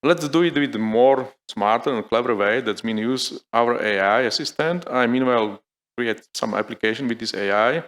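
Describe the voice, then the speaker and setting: A man's voice: mid-range voice, fairly bright tone. Man presenting a live demo. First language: Slovak. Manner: halting, many fillers and restarts, thinking aloud. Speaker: conference speaker. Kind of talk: live technical demo talk. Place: conference hall.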